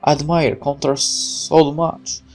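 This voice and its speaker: A man's voice: fairly high pitch, flat delivery, slow pace. Man voicing a naive, earnest young soldier. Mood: troubled, wondering. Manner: earnest, eager. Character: naive, eager, loyal young male soldier. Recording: music under the voice.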